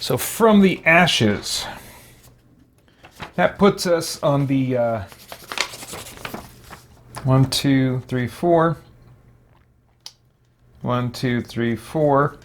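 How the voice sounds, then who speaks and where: A man's voice: medium pitch, very slow, pauses often. A man hosting a gaming video, rambling casually and thinking aloud as he plays. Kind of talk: casual roleplay game commentary.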